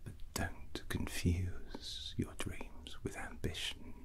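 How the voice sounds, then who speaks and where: A man playing a drunken, brooding, scheming fantasy prince in a whispered ASMR roleplay, halting and musing with a breathy, hushed voice. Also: natural voice. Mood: ominous, musing. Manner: slow, halting, musing, with long pauses.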